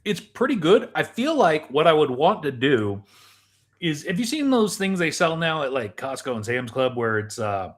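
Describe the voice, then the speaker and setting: A man's voice: fairly high pitch, big pitch swings, normal speed. A man talking casually as the co-host of a chat podcast.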